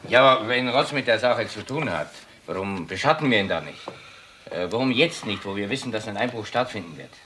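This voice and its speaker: An actor playing a frank, experienced burglary investigator in a German crime radio play, clipped and matter-of-fact. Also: male voice, fairly low pitch, lively intonation, a little fast, bright, forceful tone. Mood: emphatic. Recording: faint noise and clicks, older radio play.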